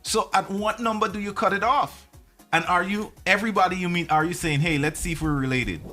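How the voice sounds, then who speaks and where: A man's voice: high-pitched, a little fast, pushed and bright. A man co-hosting a casual live talk show, bantering and questioning in a teasing way. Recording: music playing behind the talk.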